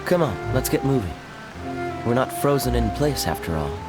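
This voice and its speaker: A man voicing a shy, gentle young brother in an animated game, soft-spoken, hesitant and subdued. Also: medium pitch, normal speed, over loud music, lightly acted. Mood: quietly urging.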